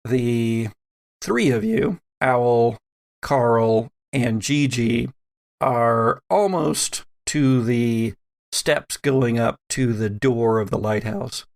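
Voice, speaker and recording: A man narrating a tabletop horror game as game master, with deliberate, dramatic phrasing and pauses. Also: mid-range voice, creaky.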